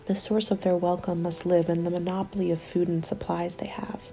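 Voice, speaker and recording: A woman playing a hopeful survivor of a zombie apocalypse in an ASMR roleplay, soft-spoken and often slipping into whispers. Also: fairly low pitch, flat delivery, fairly quick, close to an everyday voice, low-quality recording. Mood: wistful, relieved. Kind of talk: ASMR roleplay, intimate storytelling. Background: background music.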